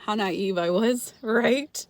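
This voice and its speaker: A middle-aged woman from Los Angeles chats loosely with her viewers, answering them by name. She laughs often, and her pitch sits in the middle range for a woman. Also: slow. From Los Angeles, California. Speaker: frugal-living channel host reading viewer comments. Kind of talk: casual livestream chat.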